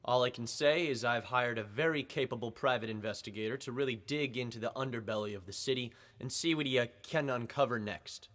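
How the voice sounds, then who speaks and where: An actor playing a pompous, testy district attorney making declamatory statements to the press in an old-time radio drama. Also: male voice, mid-range voice, brisk, hardly any pauses, fairly bright tone, a little hiss or hum, natural voice. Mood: testy, evasive. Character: blustering, evasive male district attorney at a press conference.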